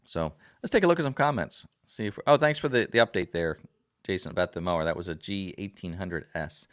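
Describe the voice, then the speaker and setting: A man with a very deep, raspy voice chats in a folksy, rambling way as he reads viewer comments on his livestream. The sound is dry and close, with no room echo, as if he is sitting right at his desk mic. His throat is rough from a recent sore throat, and he fills the pauses with easy "uh"s and "um"s. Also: animated. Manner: rambling and folksy, many fillers. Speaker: livestream host. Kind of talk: casual chat reading viewer comments. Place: home desk. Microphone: desk camera.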